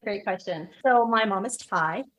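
A woman guest in an interview, telling lively personal stories with frequent restarts. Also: mid-range voice, moderate pace, muffled recording. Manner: animated storyteller, frequent restarts. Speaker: podcast guest. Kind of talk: conversational interview.

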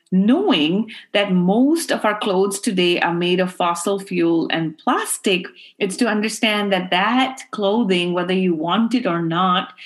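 A middle-aged woman from Pakistan, a guest speaking earnestly and passionately in an interview, often saying "you know". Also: on the low side. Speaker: guest, fashion activist. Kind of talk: interview answers.

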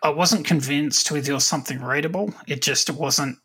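A man hosting a casual podcast interview, chatting informally with his guest in a husky, gravelly voice. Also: fairly high pitch, normal speed, pushed and bright. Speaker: podcast host.

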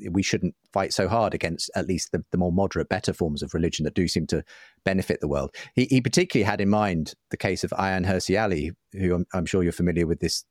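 A man's voice: sits low, fast, a little mellow, no echo, clean studio sound. A man speaking as an interview guest, explaining ideas in an articulate, discursive, conversational way. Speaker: interview guest, writer and broadcaster.

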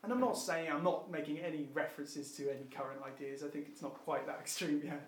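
A man's voice: a little high, quick pace. A man thinking aloud in a group discussion, hesitant, with many fillers and restarts. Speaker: discussion participant. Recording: roomy echo.

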